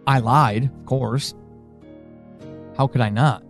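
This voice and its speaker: A man playing a worried, frustrated friend in an audio drama monologue, speaking haltingly and intensely. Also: mid-range voice, steady tone, faint music behind. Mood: worried, resigned.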